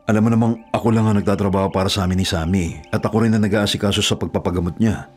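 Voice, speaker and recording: Man acting a deep-voiced, earnest Filipino big brother. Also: steady tone, rapid-fire, darkish tone, natural voice. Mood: weary, apologetic. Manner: earnest, reassuring, apologetic. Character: tired, devoted young working older brother and boyfriend. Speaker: radio drama actor. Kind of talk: Filipino radio drama acting. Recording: music under the voice.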